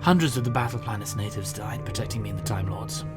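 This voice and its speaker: A man acting an excitable but war-haunted time traveller in an audio drama, kind and awkward, speaking in halting, earnest bursts. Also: fairly low pitch, loud music behind the voice, lightly acted. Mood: grave, regretful.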